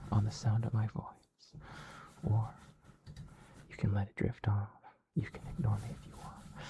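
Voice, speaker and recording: A man whispers close and low, his voice breaking into a dry, gravelly fry. He coaxes softly and soothingly, like talking to a pet. The delivery stays hushed throughout. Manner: soft, coaxing, soothing pet-talk. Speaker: ASMR creator with a dog persona. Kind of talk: whispered ASMR.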